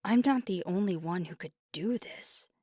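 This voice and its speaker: A woman playing an earnest, conflicted young werewolf girlfriend in an intimate ASMR roleplay, speaking softly and candidly, often near a whisper, close to the mic. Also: on the low side, slightly rough, no echo. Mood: flustered, humble. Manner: deliberate, candid, casually profane. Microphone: close microphone, dry.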